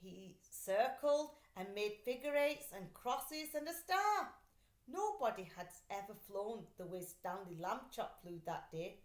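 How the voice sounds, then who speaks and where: A woman's voice: medium pitch, a little slow, reverberant. A woman reading a children's story aloud like a teacher, expressively and with dramatic delivery. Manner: animated, dramatic character voicing in dialogue.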